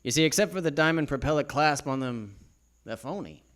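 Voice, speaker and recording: Guy doing a skeptical cop lieutenant, old radio drama, short gruff lines, pitch jumps a lot. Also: expressive, a little fast, a bit bright. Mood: knowing. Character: gruff, skeptical male police detective lieutenant. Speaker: actor in live radio theatre. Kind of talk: 1940s radio drama acting. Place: on stage at a live theatre event.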